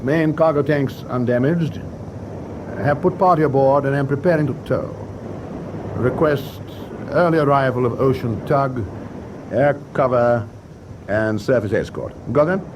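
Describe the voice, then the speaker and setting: An actor playing a composed, businesslike warship captain in a radio war drama, speaking in a measured, formal way. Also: male voice, mid-range voice, highs cut off, noisy background, lightly acted. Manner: measured, dictating signals formally. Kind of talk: full-cast radio war drama acting. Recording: clear outdoor sea ambience behind.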